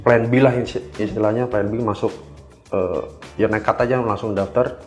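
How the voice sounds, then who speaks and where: Young Indonesian male podcast guest, lowish voice, explaining informally and haltingly, light music behind. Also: fairly even intonation. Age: young adult. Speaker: interview guest, government cybersecurity engineer. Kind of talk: casual explanatory interview answers.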